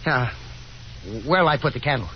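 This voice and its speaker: An actor playing an affable young American gentleman caller, easygoing and polite with chuckly hesitance, in a 1950s radio drama. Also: medium pitch, fairly quick, lightly acted. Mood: friendly, coaxing. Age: young adult. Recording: muffled, noisy 1950s radio recording.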